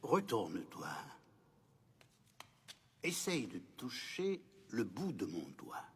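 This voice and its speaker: A man voicing a wise old grandfather in an animated film, kindly and solemn, explaining patiently in a husky, breathy voice. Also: medium pitch, slow, full character voice. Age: older.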